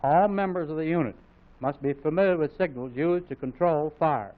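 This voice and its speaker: An American man reading scripted military instructional narration in a formal, authoritative, measured way, as on a mid-century training film. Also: on the high side. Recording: phone-like vintage film soundtrack, narrow band.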